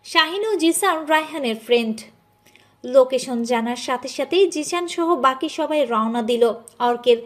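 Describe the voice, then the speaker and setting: A woman reading a scripted dramatic story as its narrator. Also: high voice.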